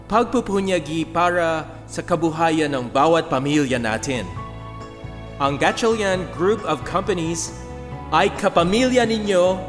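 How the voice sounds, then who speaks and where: A man playing an earnest young CEO in a radio drama, giving a rousing, deliberate, oratorical speech to his company. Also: sits high. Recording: long hall reverb, music underneath.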